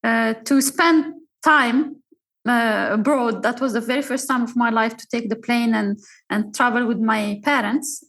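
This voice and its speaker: Woman, halting and warm-paced. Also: fairly high pitch, fairly even intonation. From Algeria. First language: Arabic. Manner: halting, with frequent fillers and restarts. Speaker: podcast guest. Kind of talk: casual storytelling interview.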